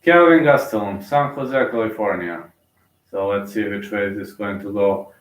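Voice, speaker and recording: A man's voice: lowish voice, normal speed, far from the mic. Bulgarian man, casual fight commentary, loose and rambling, roomy echo. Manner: rambling, thinks aloud with many fillers and restarts.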